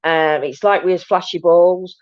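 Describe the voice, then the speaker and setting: A woman hosting a paranormal chat stream, talking casually with a loud, booming voice and many restarts. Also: steady tone, unhurried, no room sound. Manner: rambling, many restarts and "do you know what I mean". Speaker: host of a paranormal chat show. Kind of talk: casual live-stream chat reading viewer comments. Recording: dull, dry low-fi stream audio.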